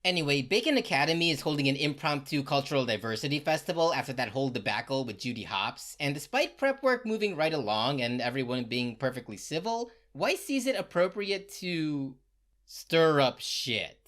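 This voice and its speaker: A woman's voice: sits low, big pitch swings, moderate pace, a bit bright. A woman delivering a casual, sarcastic comedic recap narration, full of slang and swearing.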